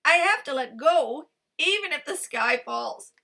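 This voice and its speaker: A greedy, gullible fox who growls and blusters loudly, as a woman acts him out. His voice stays bright and forceful, snarling at his prey. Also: sits high, lively intonation, measured pace, gravelly, voice pushed up, heavily acted. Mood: blustery.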